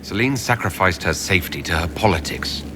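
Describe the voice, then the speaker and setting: An actor playing a blunt, haughty aristocratic hero in a fantasy video game, cool, clipped and formal. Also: male voice, low-pitched, expressive, a little fast, bright, forceful tone, a little hiss or hum. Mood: cool disdain. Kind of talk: video game character dialogue.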